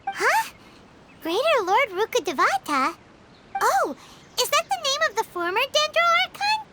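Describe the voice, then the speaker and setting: A woman voicing a tiny childlike flying fairy companion in a video game, squeaky, chatty and inquisitive. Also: big pitch swings, unhurried, heavy background noise, full character voice. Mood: curious. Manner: chatty, inquisitive, refers to self by name. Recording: music behind the voice.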